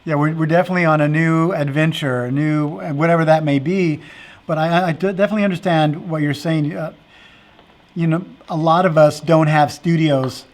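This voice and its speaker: A man with a fairly high voice hosts a casual interview. His talk is earnest and meandering, and he keeps restarting his sentences with fillers. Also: fairly even intonation, moderate pace. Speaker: festival host interviewing dancers.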